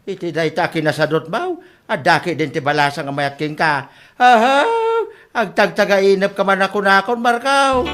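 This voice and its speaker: A man's voice: high voice, normal speed, fairly bright tone. A man playing a mocking, skeptical father with a teasing, incredulous manner in an Ilocano radio comedy drama.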